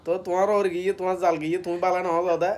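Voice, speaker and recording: A man's voice: high voice, little pitch movement, racing. A man with a making a casual, teasing and persistent prank phone call. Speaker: prank caller. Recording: clearly noisy background.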